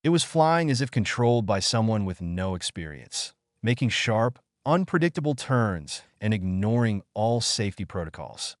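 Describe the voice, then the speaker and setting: A man's voice: lowish voice, big pitch swings, moderate pace, hardly any pauses, no echo, studio quality. A man reading a scripted story in a dramatic, suspenseful narrator's style.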